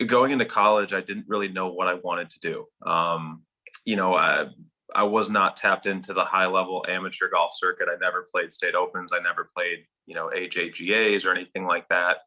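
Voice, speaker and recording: A man's voice: deep voice, bright, forceful tone. A man in his thirties from Minnesota, with a faint Minnesotan accent, telling his story as a golf pro in a casual interview. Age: 30s. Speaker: golf professional guest.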